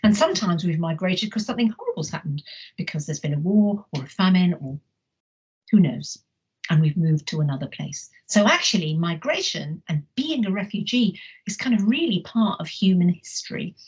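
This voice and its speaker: Middle-aged woman, English accent, storytelling to children, slightly husky, muffled lo-fi stream. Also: lowish voice, sing-song, normal speed. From Wales. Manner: gentle storyteller, asks children questions. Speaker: teacher. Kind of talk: reading a picture book aloud and teaching children. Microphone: live online lesson mic.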